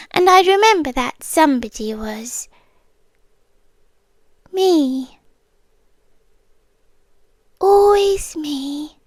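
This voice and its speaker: An obsessive, romantic young kidnapper speaks in a high, soft whisper with a British accent, as a woman acts her out for ASMR. She muses slowly and calmly. The sound is close and dry, with no room around it. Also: no echo, exaggerated voice. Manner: soft whispering, slow musing monologue. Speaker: ASMR roleplay creator. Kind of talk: ASMR roleplay.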